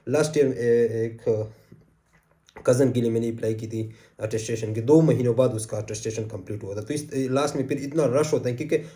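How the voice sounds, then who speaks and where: Brief: male channel host, a bit hoarse, very dark mellow tone, casual explainer. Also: mid-range voice, brisk. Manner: easygoing, repeats points, frequent "uh" fillers. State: sore throat. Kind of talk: casual advice talk to camera.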